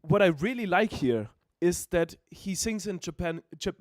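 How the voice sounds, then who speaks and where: High-pitched German-accented man chatting casually. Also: expressive, moderate pace, dry recording, studio quality. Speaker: co-host reviewing beatbox competition entries. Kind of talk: casual reaction chat.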